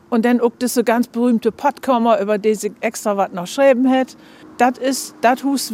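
A woman with a northern Low German accent explains conversationally, as an academic being interviewed. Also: darkish tone.

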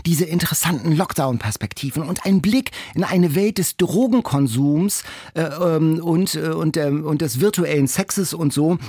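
A man with a fairly high, husky voice talks casually about books as a podcast host. His delivery is animated but halting, circling back as he looks for the right word. The recording is close and dry. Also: dry recording. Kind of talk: conversational book discussion.

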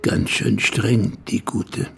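An actor voicing a teasing, mocking imaginary creature that needles a boy in a radio play, with a gravelly voice. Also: mid-range voice, flat delivery, slow, recorded from a distance. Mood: goading. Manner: mocking, goading.

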